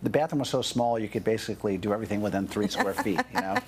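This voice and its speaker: Brief: male guest, lower voice with a gravelly edge, rambling chatty storyteller, faint background hiss. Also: expressive, very fast. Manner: chatty, lots of fillers like "you know". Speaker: interview guest. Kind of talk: casual storytelling.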